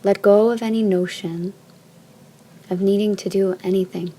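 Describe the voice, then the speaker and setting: Soft, mellow woman guiding deep relaxation. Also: steady tone, a little hiss or hum, low-quality recording. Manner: soft, soothing, measured phrasing with long pauses. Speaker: yoga nidra teacher. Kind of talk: guided meditation, slow instruction.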